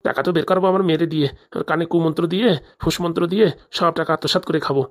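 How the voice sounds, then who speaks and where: A woman's voice: lowish voice, steady tone, rapid-fire, close to an everyday voice. A woman playing a scheming, impatient moneylender father in a comic cartoon, blustering and insistent. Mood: greedy, plotting.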